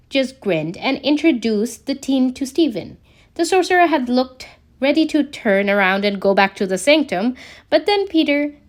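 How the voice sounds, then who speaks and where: High-pitched, lively woman narrating. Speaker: podfic narrator reading fan fiction. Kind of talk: scripted story narration.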